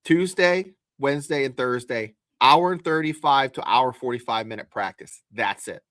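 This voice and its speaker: A man's voice: medium pitch, moderate pace, fairly bright tone, dry recording. A man co-hosting a sports podcast, explaining his takes in a folksy, casual way. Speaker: podcast co-host.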